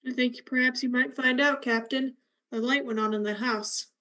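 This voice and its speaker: A woman acting a calm, wryly curious expedition scientist in a radio play, measured and dry. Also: sits high, muffled recording.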